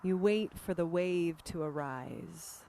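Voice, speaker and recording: A woman leading a guided meditation as a teacher, speaking gently and unhurriedly with pauses between phrases. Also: on the low side, vocal fry. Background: music.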